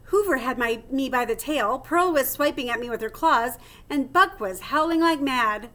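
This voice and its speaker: A woman reading a children's storybook aloud like a teacher, with theatrical expression and clear phrasing. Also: sits high, normal speed, fairly bright tone.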